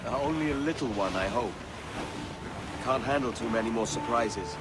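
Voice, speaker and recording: An actor playing a gentle, rueful ship's captain and father in a video game cutscene, soft-hearted and a little hesitant. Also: medium pitch, lively intonation, moderate pace, heavy background noise, natural voice. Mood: fatherly, reassuring. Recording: loud music over the dialogue.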